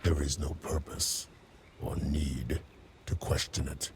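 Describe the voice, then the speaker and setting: Abyssal, gravelly undead assassin. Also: male voice, steady tone, a little hiss or hum, heavily acted. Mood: grim, bitter. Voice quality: very deep gravelly rasp, at times breathy. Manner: slow, terse, menacing. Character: undead male assassin, grim, vengeful, terse killer. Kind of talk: cinematic game acting.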